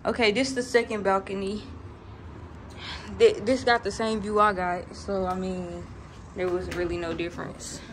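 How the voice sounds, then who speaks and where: A woman's voice: mid-range voice, little pitch movement, moderate pace. A young adult woman vlogging casually in informal, slangy talk, filming on a phone at arm's length. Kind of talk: casual vlog chat and house tour. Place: walking through apartment, hallways and parking garage. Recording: noisy handheld phone recording.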